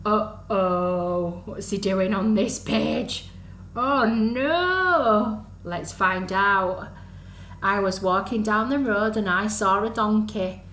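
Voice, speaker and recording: Female children's storyteller, unhurried and sing-song, exaggerated delivery for under-fives, recorded in an echoey room. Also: medium pitch, a bit bright. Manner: sing-song, exaggerated, asks the listeners questions. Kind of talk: animated storytime reading to young children. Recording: echoey room, faint background noise.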